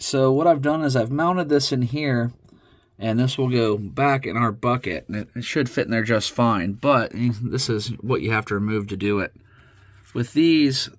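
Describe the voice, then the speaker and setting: A man's voice: medium pitch, no room sound. A man with a head cold and a slightly nasal voice, chatting casually as an RC hobby host about his build. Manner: relaxed, chatty, with fillers and restarts. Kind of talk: casual how-to build commentary. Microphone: phone camera.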